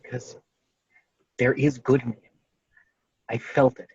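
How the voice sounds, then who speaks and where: An earnest young hero, played by a man, calm and resolute, with a husky mid-range voice. It is a script table read heard through slightly muffled video-call audio with little room sound. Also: medium pitch, slow pace.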